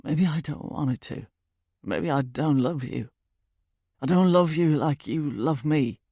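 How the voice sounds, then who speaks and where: Woman as remorseful man, audiobook dialogue, low gravelly husky tone, pained halting pleading, dry recording. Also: mellow, no echo. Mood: apologetic, resigned. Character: remorseful man breaking up with his girlfriend, evasive.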